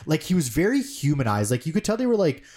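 A man's voice: a little high, very animated, quick pace, darkish tone. A man co-hosting a podcast, chatting casually, rambling with lots of "like" and casual swearing. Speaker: podcast co-host. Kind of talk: casual chat.

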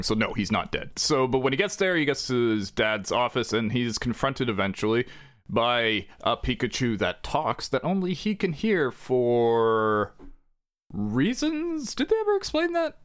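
A man co-hosting a podcast, talking casually and rambling with joking asides and quick self-corrections. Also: medium pitch, big pitch swings. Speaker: podcast co-host. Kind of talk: casual chat.

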